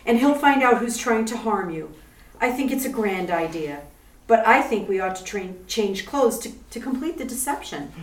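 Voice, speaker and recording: A woman's voice: on the high side, animated, moderate pace, far from the mic, close to an everyday voice. A woman playing a poised, affectionate sleuth's wife in a retro radio comedy, coaxing and playful. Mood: encouraging. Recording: echoey room, off-mic, background noise.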